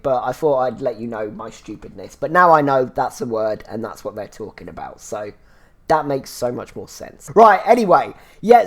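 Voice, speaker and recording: A man hosts a casual solo review show. He sounds a little congested and phlegmy, and he talks in a chatty, rambling way with lots of "so yeah" restarts. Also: a little high. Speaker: podcast host reviewing a TV series.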